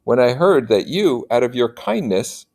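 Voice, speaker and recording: A man reading a story aloud as a sorrowful, devoted medieval gentleman fallen into poverty, speaking earnestly and formally. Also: medium pitch, very animated, a little slow, pitched above the performer's own voice.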